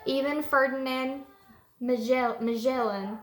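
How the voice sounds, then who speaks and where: A woman's voice: sits high, fairly even intonation, a little slow. A woman preschool teacher talking and reading a storybook aloud to young children in simple language, at home. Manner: simple, child-directed explanations. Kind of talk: storybook read-aloud with casual chat to children. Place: indoors at home, echoey room. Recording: roomy echo, clear background noise.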